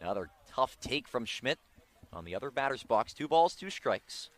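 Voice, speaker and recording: Male American baseball radio announcer, mid-range bright and forceful voice, dry close sound, built for big calls. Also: mid-range voice, dry recording. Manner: excited, drawn-out calls on big plays. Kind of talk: live sports radio commentary.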